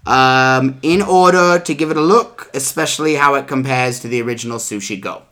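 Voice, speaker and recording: A man's voice: medium pitch, brisk, bright, forceful tone. A man hosting a comedic board game review, grilling his guests in a playful, mock-interrogating way. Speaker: host.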